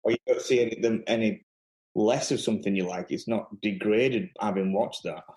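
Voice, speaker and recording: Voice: medium pitch, moderate pace, a little mellow, echoey room, low-quality recording. A speaker in casual film chat, making points emphatically and repeating them for emphasis.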